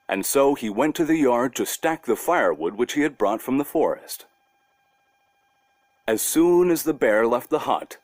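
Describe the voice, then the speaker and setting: A male storyteller reads a children's tale in a medium-pitched voice. He is expressive and deliberate, with lively intonation, recorded dry and close. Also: no echo. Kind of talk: scripted children's story narration.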